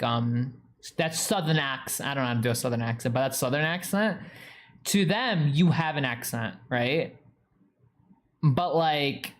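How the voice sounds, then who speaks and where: A young man with a New England accent, chatting casually with viewers on a live stream and rambling with lots of fillers. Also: on the high side. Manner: rambling, heavy use of "like". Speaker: streamer reading chat.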